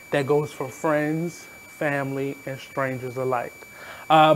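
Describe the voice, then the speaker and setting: A man in his thirties from Baltimore with a naturally high voice, hosting his radio show in a candid, blunt, reflective monologue. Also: a little hiss or hum, rough recording. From Baltimore, USA. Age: 30s. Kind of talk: casual monologue.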